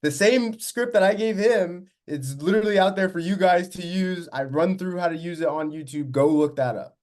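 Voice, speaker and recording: A man's voice: sits high, moderate pace, a little mellow. A man hosting an interview and coaching, blunt and casual, with slang. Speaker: host, business coach.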